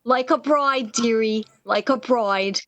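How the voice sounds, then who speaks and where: Woman playing a high-voiced, wisecracking 1930s switchboard dame. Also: slow, fairly bright tone, no echo, lo-fi sound. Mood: wry, fond. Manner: slangy, teasing, worldly-wise, uses endearments. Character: brassy, wisecracking, motherly night switchboard operator woman, 1930s. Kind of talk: 1930s radio acting.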